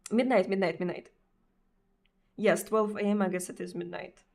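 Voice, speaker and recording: A woman's voice: medium pitch, steady tone, normal speed, a little mellow. A Russian woman streamer speaking English with a Russian accent in casual chat, hesitant, with many fillers and repeated words. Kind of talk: casual chat answering viewers.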